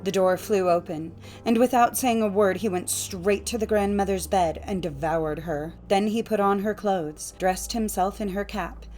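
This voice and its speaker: A woman narrating a fairytale audiobook in a measured, expressive storytelling manner. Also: mid-range voice, soft background music.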